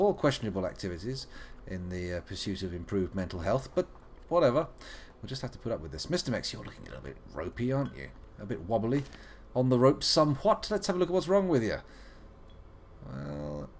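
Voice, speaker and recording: Playful man, lively voice. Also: medium pitch, slight background noise. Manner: playful, joking, muttering asides. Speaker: streamer playing a video game. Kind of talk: live game commentary.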